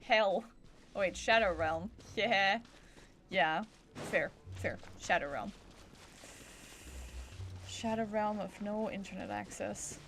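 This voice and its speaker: A woman's voice: medium pitch, slow pace, pauses often, a bit bright, slight background noise. A woman streaming a video game, reacting casually in short, chatty remarks. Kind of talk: casual live gameplay reactions. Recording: streamed talk over clear game music.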